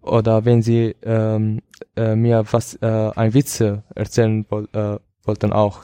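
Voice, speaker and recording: Slow, halting man with Syrian accent. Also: lowish voice, monotone, dark tone, clear, resonant tone, no room sound. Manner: halting, searching for words in a second language. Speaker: podcast participant. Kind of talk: personal account in a podcast conversation.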